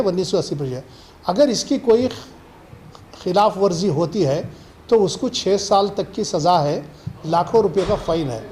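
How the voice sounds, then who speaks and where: High-pitched man, formal. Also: a little mellow. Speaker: official spokesman. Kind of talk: press statement. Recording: clearly noisy background.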